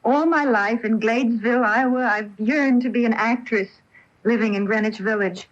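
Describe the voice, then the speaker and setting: An actress playing an eager young aspiring stage actress from a small town in a radio drama, earnest and full of wonder. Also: female voice, a little high, normal speed, close to an everyday voice. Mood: delighted. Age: young adult. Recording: dull lo-fi radio broadcast tape.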